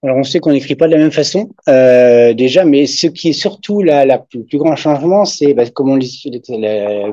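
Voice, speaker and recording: A man's voice: medium pitch, expressive, moderate pace, few pauses, warm tone, no echo. A man whose first language is German, presenting academic research and answering questions with many hesitations and fillers at a university conference. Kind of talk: academic discussion after a talk. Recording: dull muffled sound.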